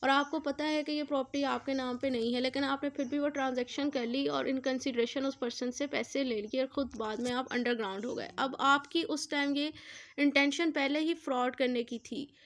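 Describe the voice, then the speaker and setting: A woman's voice: sits high, steady tone, normal speed. A woman with a Pakistani accent giving an explanatory law lecture, repeating and rephrasing in a didactic way and mixing in English terms.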